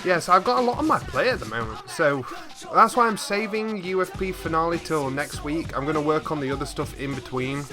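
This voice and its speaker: A man's voice: a little high, lively intonation, brisk, over music. A man hosting a reaction video, chatting casually to viewers in a rambling, easily amused way on a desktop microphone. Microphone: desktop USB microphone, slightly set back.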